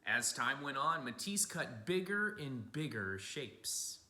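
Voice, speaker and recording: A man giving an expressive read-aloud of a picture book for children, like a teacher speaking to young listeners. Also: medium pitch, takes it slowly. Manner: addresses young listeners with simple asides and questions. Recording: roomy, echoing room sound.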